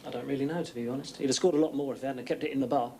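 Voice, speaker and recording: A man's voice: mid-range voice, fairly even intonation, racing. A man on a game show panel, bantering and mumbling through his answers. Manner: hesitant, mumbling with fillers. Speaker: celebrity panelist. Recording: TV studio with loud audience. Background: audience and studio noise.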